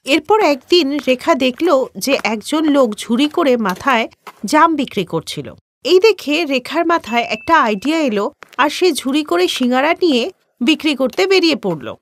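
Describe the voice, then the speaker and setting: A woman's voice: high-pitched, animated, moderate pace. A woman narrating a scripted children's story as the storyteller.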